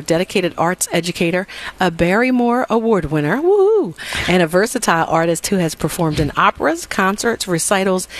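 A woman interview host who introduces guests and asks questions in an upbeat, enthusiastic, friendly way. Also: fairly low pitch.

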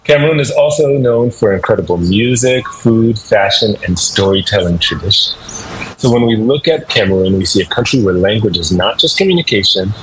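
A man's voice: fairly low pitch, expressive, a little fast, low-quality recording. A man working as a French teacher, explaining things conversationally in an encouraging, instructive way, with noticeable background noise. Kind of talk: conversational language lesson.

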